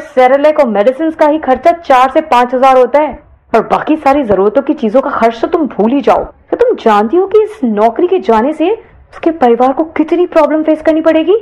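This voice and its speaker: A woman's voice: high voice, a little mellow, voice pushed up, lightly acted, rough recording. Woman voicing a serious, indignant young man. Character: young male college student, serious, indignant, accusing. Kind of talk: audio story character dialogue.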